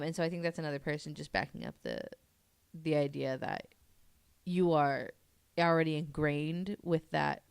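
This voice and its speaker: A woman's voice: low-pitched, vocal fry, clear, resonant tone, dry recording. A woman talking casually and informally as a guest on a podcast, walking through what she has read. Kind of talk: casual podcast talk, presenting and paraphrasing an article.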